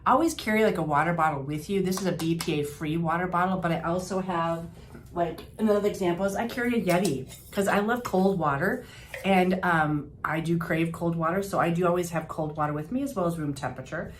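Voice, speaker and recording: Older lady, kinda low voice, chatty health tips w lots of ums, bit of hiss behind. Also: brisk. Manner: chatty, homey, with fillers and restarts. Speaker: health practitioner. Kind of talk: informal health advice talk to camera.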